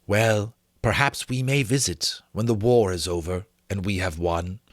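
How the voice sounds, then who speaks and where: A man's voice: lowish voice, fairly even intonation, a little slow, no echo, studio quality, lightly acted. A man voicing a curious, loyal, devoted young male dragon in a storybook reading, musing and earnest. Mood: earnest, resolute.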